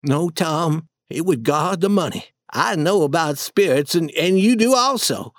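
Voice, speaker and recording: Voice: mid-range voice, expressive, a little slow, dry recording, clean studio sound, pitched above the performer's own voice, close to an everyday voice. A storybook reader voicing a timid, superstitious young boy who speaks hesitantly and stammers. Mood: nervous.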